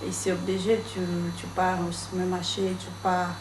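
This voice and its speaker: A woman learning French, speaking haltingly about daily struggles, repeating words as she searches for phrasing. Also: on the low side, monotone. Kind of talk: interview testimony. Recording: roomy room with clear background noise.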